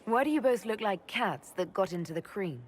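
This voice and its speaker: An actress playing a pragmatic female elf leader in a video game, measured, firm and matter-of-fact. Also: mid-range voice, lively intonation, slight background noise, natural voice. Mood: composed. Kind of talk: video game cutscene acting.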